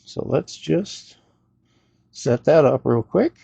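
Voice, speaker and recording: A man hosts a casual gadget review with a gravelly, mellow voice. He rambles a little, hesitates and plays down his own expertise as he goes. The recording is close and dry, with a slightly dull sound. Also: fairly high pitch, lively intonation, takes it slowly, no echo. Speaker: host of a gadget review video. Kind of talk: casual unscripted product demo and review.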